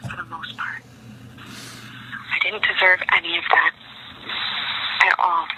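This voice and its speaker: Woman, voicemail message, aggrieved, rambling, accusatory, monotone delivery, noisy lo-fi playback. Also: fairly low pitch, measured pace. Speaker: voicemail caller. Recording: noisy lo-fi voicemail played over speakers.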